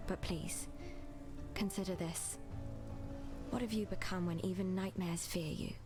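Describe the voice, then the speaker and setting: An actress playing a sober, wary survivor in a video game, speaking earnestly and with a note of caution. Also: female voice, lowish voice, fairly even intonation, measured pace, lightly acted. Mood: grave, relieved. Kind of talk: video game character dialogue. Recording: music behind the voice.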